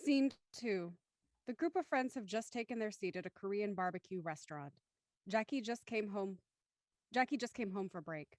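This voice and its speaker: A woman's voice: medium pitch, animated, moderate pace, dry recording, clean studio sound. A woman hosting a live streamed script reading and narrating stage directions, with polite, formal phrasing. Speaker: host and stage-direction reader of live show.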